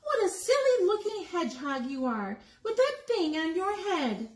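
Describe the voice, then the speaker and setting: A woman's voice: very high voice, big pitch swings, normal speed, echoey room, voice pushed up. A woman voicing a teasing male barn cat from a storybook, with a mewing delivery.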